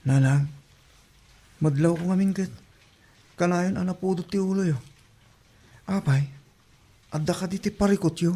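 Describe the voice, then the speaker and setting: Man acting a high-voiced, dutiful Ilocano son. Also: moderate pace, pauses often, warm tone. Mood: worried. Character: dutiful, worried young Ilocano son and elder brother. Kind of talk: audio drama acting.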